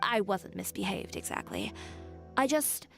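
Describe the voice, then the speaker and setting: A proud, frank young tailor; calm, assertive, matter-of-fact, mid-pitched. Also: female voice, measured pace, gravelly, soft background music, full character voice. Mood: composed.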